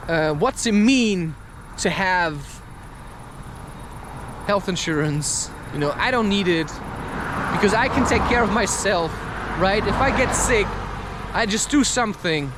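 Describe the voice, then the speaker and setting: A man's voice: high voice, animated, a little slow, long pauses, background noise. A man rambling through a spontaneous, musing monologue, loosely putting rhetorical questions as he goes. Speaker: podcast host.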